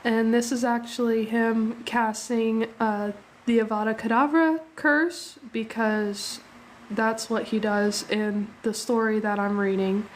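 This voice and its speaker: A young woman hosts a casual drawing stream from home, sounding slightly sniffly after a cold. Her voice sits a little higher than average, and she chats easily with viewers, with quick thanks and frequent laughs. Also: fairly even intonation, slight background noise. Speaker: art livestream host. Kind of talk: casual live drawing chat.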